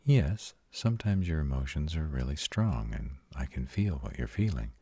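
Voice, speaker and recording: A man voicing a tender, protective male vampire in an intimate, hushed audio roleplay, soothing and husky. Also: animated, normal speed, dry recording, exaggerated voice. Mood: tender, concerned. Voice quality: very deep, husky, often breathy whispering. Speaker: voice actor.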